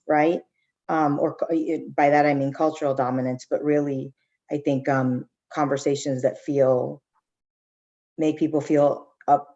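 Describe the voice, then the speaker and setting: A woman with a notably low voice speaks on a conference panel, working through her ideas out loud. She often checks in with her listeners and restarts her thoughts. The audio sounds a little dull. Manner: reflective, many restarts and "right?". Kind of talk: panel discussion.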